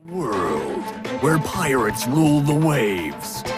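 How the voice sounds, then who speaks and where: A man's voice: mid-range voice, very animated, extremely slow. A man playing a grandiose announcer, giving a dramatic trailer voice-over for a swashbuckling adventure. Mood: grand, rousing. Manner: theatrical, dramatic. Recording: loud music bed.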